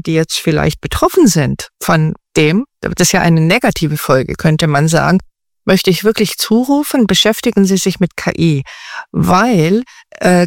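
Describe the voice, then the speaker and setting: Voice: medium pitch, vocal fry, dry recording, studio quality. An interview guest answering in a chatty way, thinking aloud with many fillers and restarts. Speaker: guest expert on AI.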